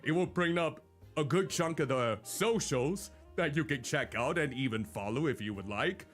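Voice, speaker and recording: A man co-hosting a live stream in casual chat, with a naturally loud voice and a rambling, self-deprecating way of talking. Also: on the high side, lively intonation, normal speed, soft background music.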